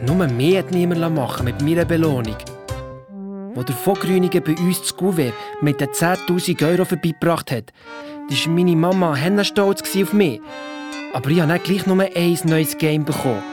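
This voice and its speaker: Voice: medium pitch, moderate pace, music in the background, close to an everyday voice. A performer playing a cheeky, boastful boy detective with a Swiss German accent, chipping in colloquially in a children's audio drama. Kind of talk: first-person storytelling in a children's audio drama.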